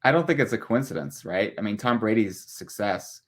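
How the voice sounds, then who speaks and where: Lowish voiced guy, podcast guest analyst, measured casual explaining. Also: expressive, a bit bright. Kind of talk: casual analytical interview talk.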